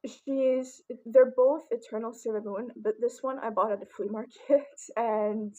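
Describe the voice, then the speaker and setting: A young woman with a raspy voice, chatting casually and rambling with many ums as she shows off her collection. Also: a little high, steady tone, a little slow, a little mellow, dull audio. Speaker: vlogger. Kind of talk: casual collection show-and-tell.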